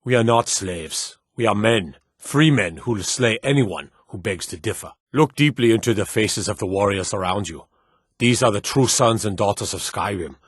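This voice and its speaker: An actor playing a stern, battle-hardened soldier in a fantasy war video game, with earnest, commanding, formal delivery. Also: male voice, lowish voice, lively intonation, moderate pace, breathy edge, no room sound, studio quality. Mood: solemn, rousing. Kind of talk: scripted video game voice acting.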